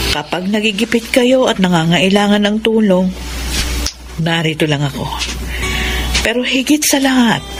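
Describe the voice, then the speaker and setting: A woman playing a kind, patient, devout middle-aged mother in a Filipino radio drama, speaking gently and giving counsel. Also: fairly low pitch, close to an everyday voice. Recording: clearly noisy radio drama recording.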